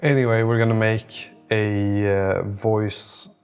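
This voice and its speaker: Low-voiced man thinking aloud, creaky. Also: little pitch movement, slow pace, darkish tone, soft background music. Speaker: solo app developer vlogger. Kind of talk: unscripted casual vlog monologue.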